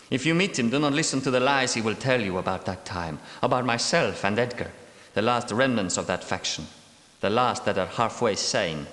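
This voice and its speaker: A man's voice: mid-range voice, a bit bright, close to an everyday voice. Man playing a wily knight in an echoing hall. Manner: formal, archaic, measured diction. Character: cunning male Grail knight, outwardly timid and nervous, secretly scheming. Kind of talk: theatrical video game character dialogue. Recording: echoey hall with long reverb.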